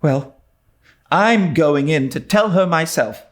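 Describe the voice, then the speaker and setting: A man's voice: fairly high pitch, lively intonation, takes it slowly, voice pushed up. A man voicing an earnest, dramatic young orphan girl with a pleading, resolute manner in an audiobook. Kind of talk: dramatised audiobook reading of a classic novel.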